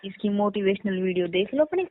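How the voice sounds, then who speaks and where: A woman's voice: medium pitch, expressive, fairly quick. A young woman chats casually on a phone call, often checking for agreement. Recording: narrowband phone line, faint noise.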